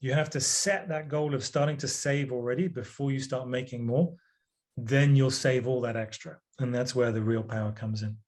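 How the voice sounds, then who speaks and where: A man answering interview questions as a guest author, measured and plain-spoken with some hesitant restarts. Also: medium pitch, steady tone, a little mellow.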